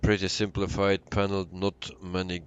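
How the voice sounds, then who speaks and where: A man with a Belgian accent giving casual, halting, unscripted commentary as he live streams a flight simulator. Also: sits low, level tone, smooth, lo-fi sound. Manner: halting, unscripted, answering chat. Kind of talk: casual livestream commentary while playing.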